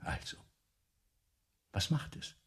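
An actor playing a vain, excitable playwright in a German radio play, with a pompous, theatrical, lecturing manner. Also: male voice, takes it slowly. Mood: scheming, bossy. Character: vain, excitable, self-dramatizing 19th-century male playwright.

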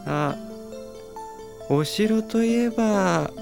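A man's voice: high-pitched, animated, extremely slow, gravelly, over music, full character voice. A man playing a listless, resigned townsman in an audio drama, drawling and indifferent. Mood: weary, indifferent. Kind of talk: Japanese audio drama character acting.

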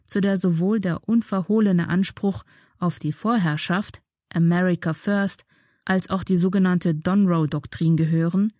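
A woman reads a scripted commentary in a measured, formal manner. Her voice sits fairly low and has a very mellow, soft-edged tone. The sound is dry, with hardly any room around it. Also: no echo. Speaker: radio presenter reading a political commentary. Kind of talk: scripted narration, reading an article aloud.

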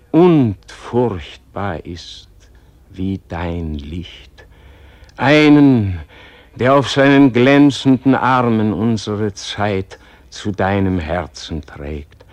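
An actor playing a dying holy man who prays humbly and fervently in a husky, declamatory radio drama style. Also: lowish voice, no echo, lo-fi sound. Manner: declamatory, reverent, swelling from whispers to fervent pleas. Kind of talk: solemn classic radio drama monologue.

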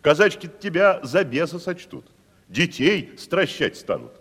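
An actor playing a proud, haughty provincial tragedian, patronizing and theatrical, in a 1970s audio stage drama. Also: male voice, a little high, big pitch swings, measured pace, fairly bright tone, husky, full character voice. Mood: lordly scolding. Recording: reverberant hall-like studio recording.

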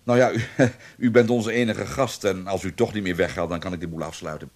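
A man's voice: low-pitched, fairly even intonation, brisk, close to an everyday voice. A man acting a polite, inquisitive hotel night clerk in a radio drama.

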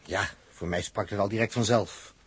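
A man acting an earnest, solemn space crewman who reasons deliberately, in a 1960s radio drama. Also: lowish voice, fairly even intonation, husky, no room sound. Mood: grave, urgent. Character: earnest, reasoning male space crewman explaining grave odds. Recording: noisy 1960s radio recording.

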